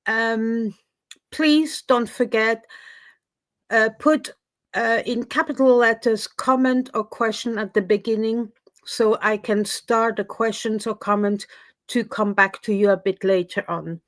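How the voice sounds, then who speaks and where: A Swiss French woman hosts a casual livestream chat. Her voice sits a little high and sounds close and dry. She talks loosely, with fillers and frequent laughs. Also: fairly even intonation, unhurried, no room sound. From Switzerland. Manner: chatty, laughs often, with fillers. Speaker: livestream host.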